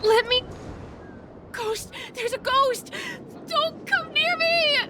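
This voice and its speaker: A tiny, terrified game creature, extremely high-pitched and very slow in speech. He pleads and wails in panic, with clear noise behind him. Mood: panicked. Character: terrified small Pepeshi creature, convinced he is dead. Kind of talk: video game voice acting.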